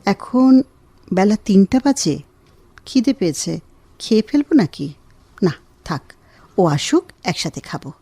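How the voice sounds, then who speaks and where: A woman's voice: mid-range voice, very animated, measured pace, pauses often, warm tone, faint music behind. A woman acting a lonely, devoted housewife in a Bengali radio drama, speaking expressively and tenderly. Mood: wistful, waiting.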